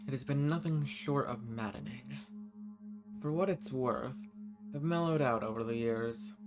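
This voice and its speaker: A man's voice: medium pitch, close to an everyday voice. A man voicing a banished ancient villain in an audio roleplay, world-weary, menacing and flirtatious, musing theatrically in formal wording to the listener. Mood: teasing, grave. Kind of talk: scripted audio roleplay, intimate monologue to the listener.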